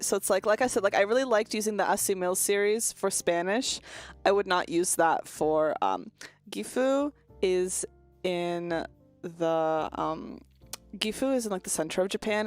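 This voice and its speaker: A woman's voice: mid-range voice, no room sound. A young woman streaming, chatting casually and candidly with viewers, rambling with lots of "like" and "um" and some swearing. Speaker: VTuber streamer. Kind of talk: casual chat answering viewer questions.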